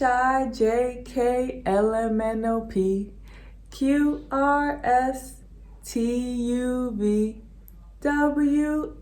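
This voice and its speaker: A woman hosting a children's video, reading a rhyming picture book aloud and leading kids in games. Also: sits high. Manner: slow rhythmic rhyming read-aloud; bright sing-song teacher voice for kids. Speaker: children's show host.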